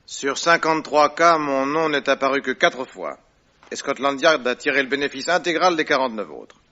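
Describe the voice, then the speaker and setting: An actor playing a sharp, probing male detective in a vintage radio drama, asking crisp, pointed questions. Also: medium pitch, normal speed, close to an everyday voice. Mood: haughty. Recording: dull lo-fi vintage radio recording.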